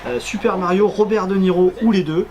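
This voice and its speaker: A man's voice: sits high, steady tone, a little fast, gravelly, highs cut off, background noise. A man hosting a radio show, chatting casually and joking as he presents.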